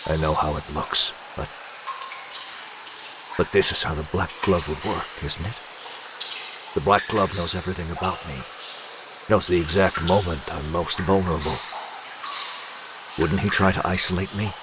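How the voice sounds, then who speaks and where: An actor playing a brooding, obsessive masked vigilante who is unraveling, in an intense, urgent comic-book drama delivery. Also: male voice, low voice, fairly even intonation, normal speed, pauses often, noisy background, close to an everyday voice. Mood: urgent, insistent.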